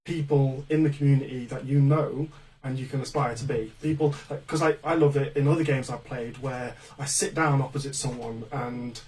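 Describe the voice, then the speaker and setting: A man's voice: medium pitch, steady tone, moderate pace, a little mellow. An English man co-hosting a casual live stream in an easygoing, agreeable way. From England. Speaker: co-host and tournament judge. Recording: distant mic with faint room noise.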